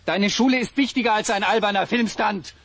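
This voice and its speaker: A man's voice: high voice, fairly quick, a bit bright. An actor playing a firm, worried middle-aged father and doctor in a children's audio drama. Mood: stern, exasperated. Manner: earnest, firm, easily exasperated.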